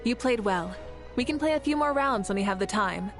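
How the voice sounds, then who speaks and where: A woman's voice: fairly high pitch, animated, fairly quick. A woman playing a confident, teasing young leader in a video game, easygoing and playful. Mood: warm praise. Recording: game music behind the voice.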